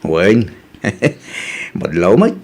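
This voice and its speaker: A man plays an eager bachelor in his thirties, flirting shyly. He has an Ilocano accent and the lively intonation of radio comedy drama. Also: on the low side, drawn-out words, husky, full character voice. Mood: flirtatious, awkward. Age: 30s.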